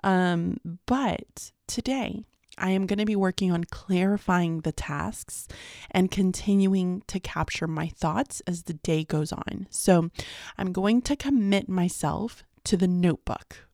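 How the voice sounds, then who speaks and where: Chatty woman with gravelly fry. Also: lowish voice, measured pace, darkish tone, no room sound, studio quality. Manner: rambling, chatty, fillers like "like" and "um". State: sleepy. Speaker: vlogger. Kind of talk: casual daily vlog chat.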